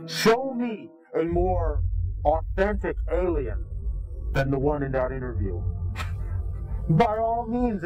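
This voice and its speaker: Man, interviewee, contemptuous, indignant, mellow dark voice, faint music behind. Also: high voice, low-quality recording. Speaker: anonymous interviewee. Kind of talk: recorded interview.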